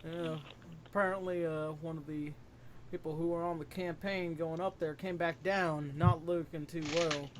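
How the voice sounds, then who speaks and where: A man's voice: on the high side, steady tone, normal speed, a little hiss or hum, lightly acted. A man voicing a friendly male gunslinger with a folksy southern twang in a tabletop game.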